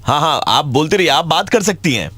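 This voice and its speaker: Indian man, radio prank-call host, casual chat, lively intonation, mixed Hindi and English. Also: fairly high pitch, brisk.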